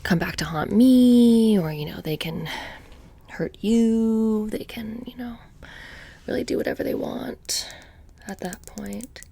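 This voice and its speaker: A soft-spoken woman doing close, whispery ASMR roleplay as a witch shopkeeper, with a casual, halting, deadpan menace. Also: medium pitch, gravelly, slightly rough.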